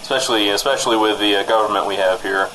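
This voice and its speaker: A man's voice: fairly low pitch, fairly even intonation, racing, sharp tone, poor audio. A man playing an exasperated, rambling small-town radio news host in a comedy show. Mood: bemused, chatty. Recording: clear background noise.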